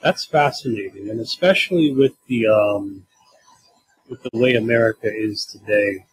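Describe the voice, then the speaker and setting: A man's voice: fairly low pitch, normal speed, slightly rough, rough recording. A man hosting a podcast interview, asking long, meandering questions with hesitations. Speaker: podcast host.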